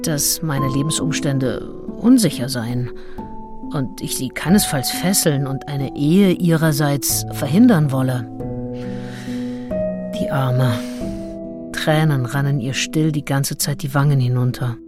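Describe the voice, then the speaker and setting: Scheming, confessional seductress over music. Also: female voice, rumbling low, slow. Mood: pitying. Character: Anne Lister, scheming, self-aware 19th-century female seducer. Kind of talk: radio drama, intimate diary monologue. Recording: music behind the voice.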